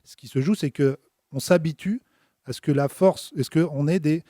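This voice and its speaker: A man's voice: fairly high pitch, normal speed, dark tone, no room sound, clean studio sound. A man in a political debate, reasoning aloud with many hesitant fillers.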